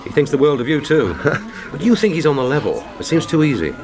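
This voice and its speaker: An actor in a radio play voicing a cool British secret agent with dry, polite, businesslike, clipped speech. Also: male voice, on the high side, big pitch swings, brisk, a little mellow. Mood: sceptical. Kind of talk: radio drama acting.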